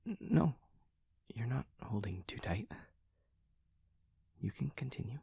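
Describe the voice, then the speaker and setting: A man playing an awkward, earnest young demon classmate, speaking softly and breathily with hesitant stammers and stiffly formal wording in an intimate audio roleplay. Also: medium pitch, animated, slow pace, no room sound, full character voice. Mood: nervous, obliging. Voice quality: soft, breathy, husky. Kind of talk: intimate audio roleplay, whispery ASMR-like acting.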